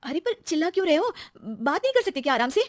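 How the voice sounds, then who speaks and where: An expressive young married woman, acted by a female storyteller, with a high, lifted voice and a close, dry sound. She is chatty, excitable and quick to take offence, in the manner of a domestic story. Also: no echo, lightly acted. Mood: aggrieved.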